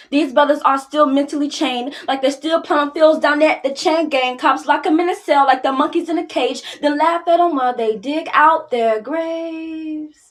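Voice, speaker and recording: A woman with a markedly high-pitched voice performs spoken word poetry. Her delivery is dramatic and declamatory, with impassioned stress on the key words. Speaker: spoken word poet in a contest.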